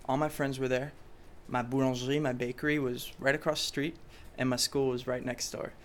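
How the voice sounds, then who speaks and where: A man's voice: medium pitch, level tone, brisk, slight background noise. A young man from France, a native French speaker, talking in a casual interview with many fillers and restarts. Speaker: pop singer guest.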